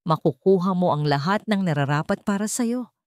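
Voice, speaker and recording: A woman narrator plays a betrayed, wealthy man in an audio romance. He speaks gently, with coldness held underneath. The recording is very dry. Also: lowish voice, lively intonation, a little fast, dry recording, lightly acted. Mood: tender, cold. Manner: soft and sweet with hidden coldness. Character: betrayed billionaire man, tender surface hiding cold resolve.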